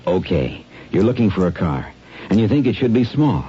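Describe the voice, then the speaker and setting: A man giving a plain-spoken, persuasive, conversational sales pitch as a 1970s radio announcer. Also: very animated, normal speed, warm tone, slightly rough. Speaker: car advertisement announcer. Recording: dull old radio broadcast.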